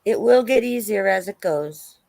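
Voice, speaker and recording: A woman reads the part of a sympathetic investigator in a police interview. Her delivery is low-key and plain, at a middling pitch, recorded close with no room sound. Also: expressive, moderate pace, creaky, darkish tone, no echo. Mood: sympathetic, matter-of-fact. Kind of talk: dramatic reading of an interview transcript.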